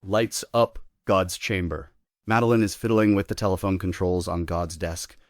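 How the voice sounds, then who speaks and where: A man's voice: low voice, fairly even intonation, normal speed, dry recording, clean studio sound. A man narrating stage directions in a scripted table read.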